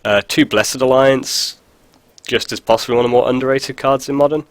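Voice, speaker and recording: A man's voice: mid-range voice, fairly even intonation, a little fast, clear, resonant tone, no room sound. A man with a cold, sounding stuffy, talking casually over a card game with dry jokes and lots of fillers. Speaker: video host. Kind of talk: casual gameplay commentary.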